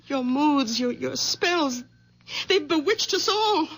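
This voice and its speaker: An actress playing an anxious, protective young wife who speaks in a worried, pleading way in a 1970s radio drama. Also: female voice, sits high, expressive, slow pace, full character voice. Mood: stern.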